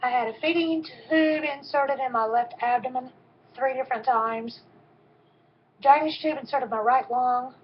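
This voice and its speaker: A woman in her fifties with a soft voice, giving a personal testimony in a halting way with frequent pauses and ums. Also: sits high. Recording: dull, lo-fi recording.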